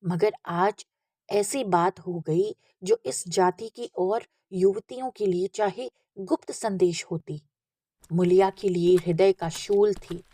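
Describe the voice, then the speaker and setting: A woman narrates literary fiction in a husky voice at a slow, measured pace. She reads expressively, and the recording is dry and close. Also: lowish voice, dark tone, dry recording. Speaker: audiobook narrator. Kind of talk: expressive literary story reading.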